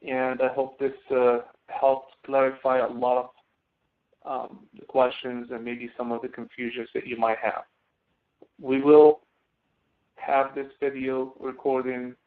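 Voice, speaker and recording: A man working as a college instructor, explaining step by step in an online class with a halting delivery that often restarts. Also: mid-range voice. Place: online screen-sharing class session. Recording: tinny phone-like webinar audio.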